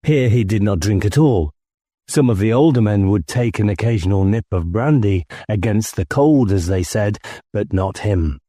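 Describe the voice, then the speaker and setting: A man's voice: fairly low pitch, normal speed, warm tone, no room sound, clean studio sound. A man reading scripted first-person story narration as an audiobook narrator.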